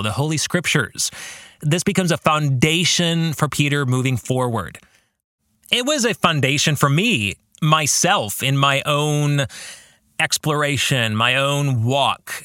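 Male podcast host, reflective faith talk. Bright, lively intonation, deliberate halting phrasing, dry close sound. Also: a little high, no echo. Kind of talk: reflective spoken book discussion, faith talk.

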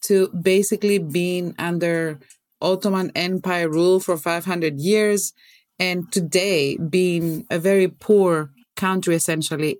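A woman's voice: on the low side, a little mellow, dry recording. A middle-aged woman from Greece with a Greek accent, speaking as an interview guest and telling her story in an earnest, candid way. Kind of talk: reflective interview conversation.

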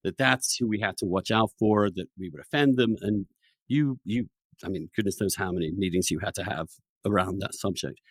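A man hosting a conversational interview as a journalist, opinionated and emphatic, with halting restarts. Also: deep voice, breathy edge, no echo. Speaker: podcast host, journalist.

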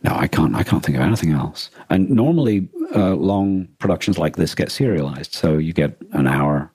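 A man's voice: extremely low pitch, animated, moderate pace, darkish tone, slightly rough, no room sound. A man co-hosting a podcast, talking casually in a thoughtful, explanatory way with some hesitation. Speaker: podcast co-host. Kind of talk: casual discussion.

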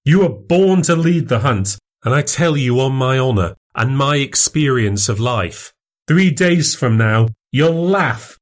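A man voicing a smooth, cynical medical man who coaxes and mocks a younger accomplice in a storybook reading. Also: medium pitch, lively intonation, unhurried, pitched above the performer's own voice, natural voice. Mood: persuasive. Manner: coaxing, persuasive, mocking.

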